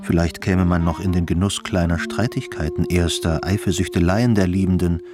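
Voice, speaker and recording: A man's voice: sits low, steady tone, normal speed. A man narrating a radio drama in a scripted literary style. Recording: music behind the voice. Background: music.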